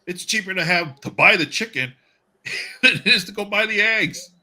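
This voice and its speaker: Male livestream host, very sharp bright tone, rambling jokey chat, halting delivery. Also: high-pitched. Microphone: video call stream.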